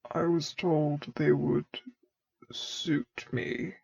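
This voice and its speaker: A woman voicing a grave but gently fond masked dark lord in a fan fiction audiobook, with low, measured, formal speech. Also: level tone, creaky, no room sound, highs cut off, full character voice. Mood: grave, subdued.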